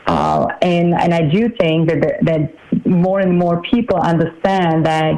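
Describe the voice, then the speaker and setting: Woman in her forties from Slovakia, political candidate, measured, lots of uh fillers, remote call audio. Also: fairly low pitch, fairly even intonation, mellow, poor audio. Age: 40s. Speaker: congressional candidate. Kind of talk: political interview.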